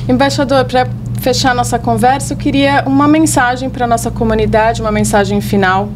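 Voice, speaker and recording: A woman's voice: a little high, fairly even intonation, brisk, background noise. A Brazilian woman interviewing a guest, asking short questions and giving brief affirming replies. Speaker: interviewer, editor. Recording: music playing behind.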